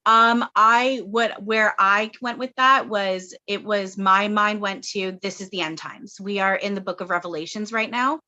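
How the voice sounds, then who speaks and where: A woman's voice: mid-range voice, fairly even intonation, a little slow, hardly any pauses, sharp tone, muffled recording. A woman in her thirties from Canada, a guest channeler talking in a rambling, earnest way with many fillers on a webinar. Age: 30s. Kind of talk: casual webinar chat.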